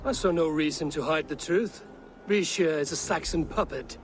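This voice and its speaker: An actor playing a blunt, honest Viking raider hero who talks in a firm, plain way. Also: male voice, fairly high pitch, normal speed, slight background noise, lightly acted.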